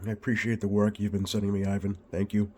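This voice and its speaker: A man with a slightly scratchy voice, getting over a cold, talks informally through a repair demonstration in a hesitant, unhurried way, thinking aloud. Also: fairly low pitch, little pitch movement, warm tone. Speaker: radio repair technician and video host. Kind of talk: informal bench demonstration vlog. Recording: clearly audible background noise.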